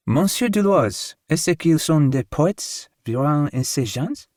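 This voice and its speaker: A man voicing an older French landlady who questions her new tenants slowly and warily. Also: fairly high pitch, expressive, a little mellow, no room sound, clean studio sound, pitched above the performer's own voice. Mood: doubtful. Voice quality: careful, halting French speech.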